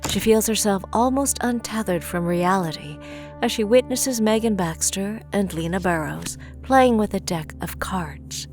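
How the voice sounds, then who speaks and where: A woman's voice: mid-range voice, measured pace, darkish tone, faint music behind. A woman reading scripted dramatic narration for an audio drama.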